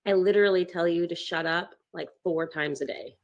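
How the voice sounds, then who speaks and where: Woman playing irritable young female patient, table-read drama. Rather low voice, dry sarcasm, blunt and exasperated. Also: a little fast, lo-fi sound, natural voice. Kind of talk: amateur screenplay table read.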